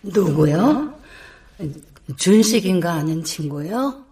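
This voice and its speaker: An elderly wife, wry, teasing, slow-spoken. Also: female voice, lowish voice, animated, warm tone, husky, full character voice. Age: older.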